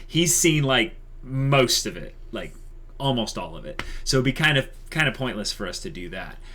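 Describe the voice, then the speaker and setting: A man's voice: medium pitch, sing-song, normal speed, pushed and bright. A young man co-hosting a channel announcement, speaking casually and conversationally with plenty of likes and you-knows. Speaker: channel co-host.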